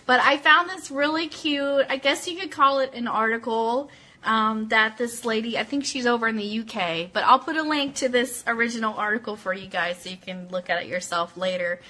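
Bright, high-voiced, giggly woman host. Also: moderate pace. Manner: giggly, excitable, informal. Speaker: host of an Elvis fan radio show. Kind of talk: casual live show chat and playful read-aloud.

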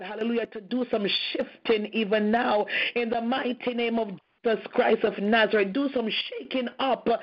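A woman leading a fervent intercessory prayer on a group call, speaking with intense passion and returning to "oh God" between her phrases. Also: on the high side. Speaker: prayer leader. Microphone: phone or conference call. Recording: muffled lo-fi call audio.

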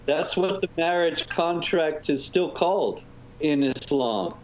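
A man, a guest author, explaining points in a measured way during a talk show interview. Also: a little high, animated. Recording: dull lo-fi audio with faint noise.

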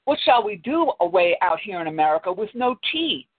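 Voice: mid-range voice, big pitch swings, fairly quick, breathy edge, no room sound. A reader voicing a fretful girl fond of tea in a plain read-aloud voice, over a tinny phone-like line.

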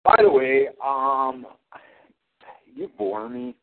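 A man's voice: mid-range voice, monotone, takes it slowly. A man cutting a sarcastic, mocking wrestling promo, taunting his rival as champion. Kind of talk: trash-talking wrestling promo over a call. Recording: tinny phone line.

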